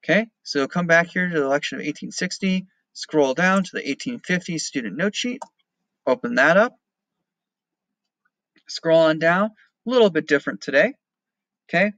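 Casting: American man, thirties, as an informal teacher reading to a class; crisp bright tone, fairly dry close sound. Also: fairly high pitch, expressive, moderate pace, long pauses, dry recording. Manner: checks in with frequent "okay". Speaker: history teacher. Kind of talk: read-aloud lesson with informal explanations.

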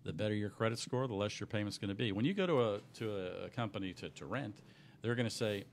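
A middle-aged man, a little hoarse, explaining loans as a guest on a radio talk show in a small studio with a desk mic. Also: on the low side, quick pace, slight background noise. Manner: earnest, explanatory, many restarts. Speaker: mortgage company owner, guest. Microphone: desk microphone on boom.

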